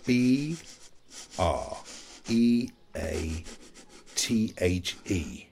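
An actor playing a forgetful, childlike, playful elderly husband in an audio play, halting and deliberate in his speech. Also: fairly low pitch, sing-song, slight background noise, heavily acted. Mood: careful, self-soothing. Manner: halting, deliberate, spelling words out slowly.

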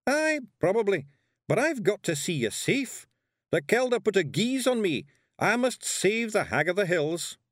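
A man's voice: high-pitched, sing-song, measured pace, dry recording, studio quality, pitched above the performer's own voice, full character voice. A man voicing a tiny, fierce, loyal fairy man with a broad Scottish accent and blunt dialect speech. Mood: earnest.

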